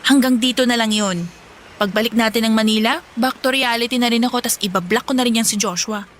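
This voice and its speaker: Highish-voiced, sweet young city woman played by actress. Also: fairly quick, a bit bright, slight background noise, lightly acted. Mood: teasing denial. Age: young adult. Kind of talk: Tagalog audio drama acting. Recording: music behind.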